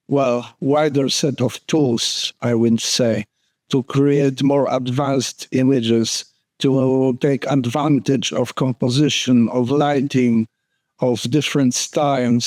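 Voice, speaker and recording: An older man with a Polish accent, a guest photographer answering questions in an earnest, unhurried way, often restarting his phrases. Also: medium pitch, a little mellow, no room sound. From Poland. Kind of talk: casual interview.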